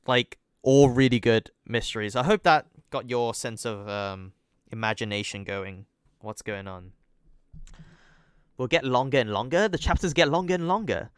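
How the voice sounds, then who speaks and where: A man's voice: mid-range voice, fairly bright tone, smooth, no echo, clean studio sound. A young adult man streaming a game, chatting with viewers, thanking them by name and joking about himself. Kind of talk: live-stream gaming chat and reading aloud.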